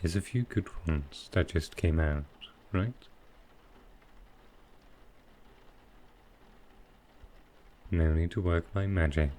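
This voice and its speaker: Guy doing a super deep smooth villain for asmr, flirty and soft spoken, unhurried, bit of noise behind him. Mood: flirtatious. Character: smooth, flirtatious male supervillain courting his tailor. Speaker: voice actor in audio roleplay. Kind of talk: intimate ASMR roleplay.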